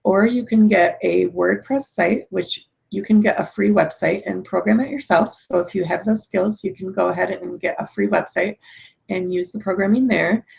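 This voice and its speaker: A woman marketing coach giving a screen-shared online lesson, hoarse from a cold, explaining step by step with frequent fillers. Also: medium pitch, steady tone, normal speed, darkish tone, poor audio. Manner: explanatory, many fillers and restarts. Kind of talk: webinar presentation.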